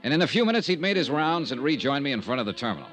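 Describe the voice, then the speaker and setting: A hard-boiled private investigator of old-time radio. He is shrewd and wry, with clipped, dramatic phrasing and a widely swinging, lively intonation. Music comes in behind him at times. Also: mid-range voice, racing. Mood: crisp, narrating. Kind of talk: 1950s radio detective drama, scripted narration and dialogue.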